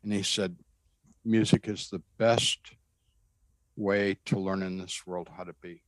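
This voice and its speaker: An earnest man with a bass voice telling his story to an interviewer. He is emphatic and repeats phrases as he goes. The recording is dry, with no room sound. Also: fairly even intonation, a little slow, no room sound, low-quality recording. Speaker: guest describing a spiritual experience. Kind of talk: conversational storytelling.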